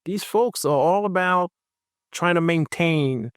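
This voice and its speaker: A man's voice: fairly high pitch, slow pace, clean tone, no room sound, studio quality. A man from Haiti on a roundtable panel, talking emphatically and repeating his points for stress. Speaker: physician panelist. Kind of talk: roundtable discussion.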